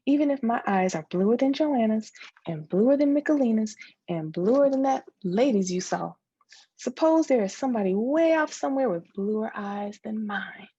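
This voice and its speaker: A woman's voice: on the high side, animated, moderate pace, dark tone, husky, muffled recording. A woman voicing an anxious young girl who pleads and keeps asking insistent questions in a dramatic literary read-aloud. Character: young girl, anxious, pleading, obsessed with having blue eyes.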